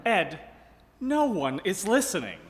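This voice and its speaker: Jittery earnest director, high swingy voice, noisy live hall. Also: male voice, huge pitch swings, takes it slowly, full character voice. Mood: puzzled, exasperated. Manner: questioning, puzzled, earnest. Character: Frank, earnest nervous male theater director and lead actor. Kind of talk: comic stage radio play. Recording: reverberant ballroom, noisy live recording.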